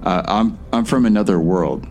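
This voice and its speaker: A man's voice: lowish voice, animated, moderate pace, a little mellow, natural voice. A man playing a nervous, harmless stranger in an audio drama, hesitant and placating as he explains himself. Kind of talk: post-apocalyptic audio drama acting.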